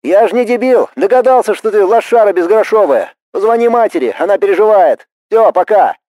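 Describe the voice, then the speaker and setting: A man voicing an anxious, exasperated younger brother, a sharp-tongued medical student who scolds in an audiobook story. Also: sits high, lively intonation, normal speed, no echo, pitched above the performer's own voice, low-quality recording. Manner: agitated, scolding.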